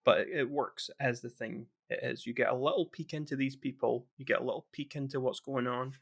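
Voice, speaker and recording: A man's voice: medium pitch, steady tone, fairly quick, creaky, fairly bright tone, breathy edge. Rambling, chatty man with restarts. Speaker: podcast co-host. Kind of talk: casual podcast chat.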